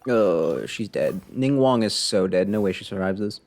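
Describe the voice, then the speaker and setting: A man's voice: fairly low pitch, expressive, normal speed, vocal fry, a little mellow. A man doing casual live gameplay commentary, thinking aloud in a self-deprecating way as he mutters and exclaims. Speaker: video game livestreamer. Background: game music.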